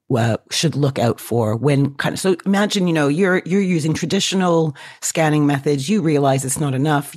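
A woman co-hosting a podcast with a hoarse, cracky voice, chatty and quick to laugh. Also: low-pitched, darkish tone, dry recording, studio quality. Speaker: podcast co-host. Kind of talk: podcast interview and outro.

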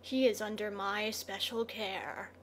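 A woman voicing a sinister, coolly menacing scorpion in a quiet, restrained, slow manner. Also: medium pitch, steady tone, slight background noise, pitched below the performer's own voice, lightly acted. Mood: cold, menacing.

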